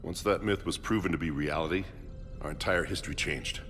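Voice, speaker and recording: A senior man of science in a space-war game. He is earnest and intent, laying things out in a deep, measured voice. Music is clearly heard behind him. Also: a bit bright. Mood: grave. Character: earnest, driven senior male scientist, space-age doctor. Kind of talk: dramatic video game dialogue.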